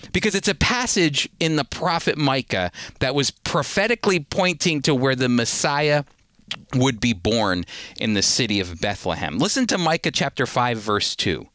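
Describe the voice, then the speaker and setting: A man's voice: mid-range voice, a bit bright, no room sound. A man teaching the Bible on a radio program, earnest and emphatic, often repeating a word as he starts a thought.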